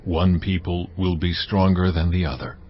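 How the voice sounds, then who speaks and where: A man's voice: sits low, fairly even intonation, moderate pace, a little hiss or hum, close to an everyday voice. An actor voicing God in a dramatized audio Bible, a solemn, commanding male figure speaking in weighty declarations. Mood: solemn prophecy. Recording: dull audio under clear music.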